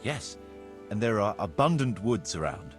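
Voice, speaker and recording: An actor playing a formal, courtly medieval land surveyor in a video game, speaking precisely and deferentially. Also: male voice, fairly low pitch, big pitch swings, measured pace, soft background music. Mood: formal, assured. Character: formal, courtly medieval locator Marius, eager planner and surveyor. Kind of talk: video game cutscene acting.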